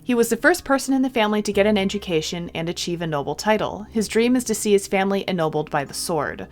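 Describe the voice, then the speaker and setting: A woman doing a let's-play of a visual novel, reading the text aloud expressively and adding casual, chatty comments. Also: fairly low pitch. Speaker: game streamer.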